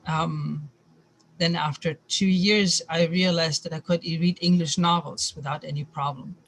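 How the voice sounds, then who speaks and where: Man from Chile raised in Germany, even-toned. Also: on the high side, measured pace, creaky, slight background noise, poor audio. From born in Chile, raised in Germany. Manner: thoughtful, with many fillers and restarts. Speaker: interview guest. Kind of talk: casual interview conversation.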